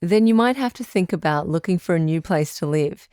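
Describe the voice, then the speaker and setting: An actress playing a patient woman advice-show doctor in an audio drama, measured, tactful and reassuring. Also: fairly low pitch, expressive, warm tone, smooth, no room sound, clean studio sound, natural voice. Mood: reassuring, practical.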